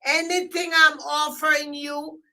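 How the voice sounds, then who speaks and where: A woman with a Jamaican accent hosting a live stream, talking loudly and emphatically and repeating herself as she signs off. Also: high-pitched, flat delivery, a little slow. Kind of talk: casual live stream sign-off.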